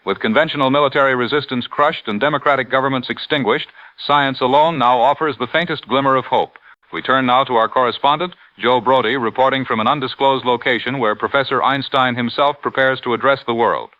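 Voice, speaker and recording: A man playing a grave, formal wartime radio news anchor in a measured 1940s bulletin style. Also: mid-range voice, no echo, natural voice. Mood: grave, urgent. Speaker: news announcer. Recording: dull lo-fi old radio sound.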